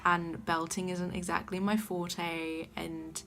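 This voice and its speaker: A young woman with a soft voice, gushing enthusiastically about a show she loved in a casual vlog. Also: lowish voice, level tone, takes it slowly. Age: young adult. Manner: gushing, enthusiastic fan talk. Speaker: vlogger. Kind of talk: casual vlog chat to camera. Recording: faint background music.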